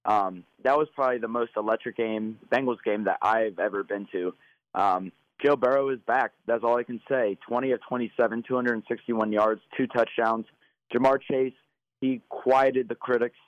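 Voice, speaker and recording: A man co-hosting a sports podcast by phone, hoarse from yelling, talking casually with many fillers. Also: mid-range voice, steady tone, normal speed, no room sound. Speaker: podcast co-host. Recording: phone line.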